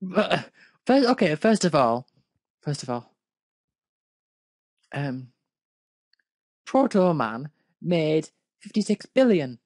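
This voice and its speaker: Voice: medium pitch, sing-song, slow, long pauses, a little mellow, no echo. A person reading fan fiction aloud casually, with hesitant, dry critical asides. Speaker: fanfic reader and commentator.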